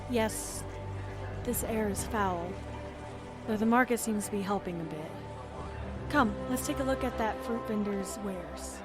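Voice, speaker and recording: A woman plays a composed, caring fantasy adventurer in an audio drama. Her voice sits at a middle pitch, with clear music playing behind it. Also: measured pace, long pauses, close to an everyday voice.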